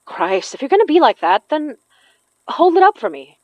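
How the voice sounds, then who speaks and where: A woman playing a steady, protective young spell caster in an audio horror drama, with casual, natural delivery. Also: high voice, normal speed, no room sound. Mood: exasperated. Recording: dry, slightly muffled studio.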